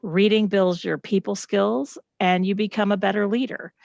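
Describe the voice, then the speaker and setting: A woman's voice: medium pitch, steady tone, moderate pace, vocal fry, darkish tone, no room sound, lo-fi sound. A middle-aged woman, presenting a reading lesson to children and families and asking them questions. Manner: encouraging, asks the audience questions. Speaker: teacher presenting at family night.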